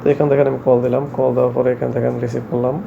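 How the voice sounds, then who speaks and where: A man presenting a hands-on tech tutorial in a halting, repetitive, step-by-step way. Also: mid-range voice, little pitch movement, creaky, dull audio, background noise. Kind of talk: hands-on product tutorial narration. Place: shop, indoors.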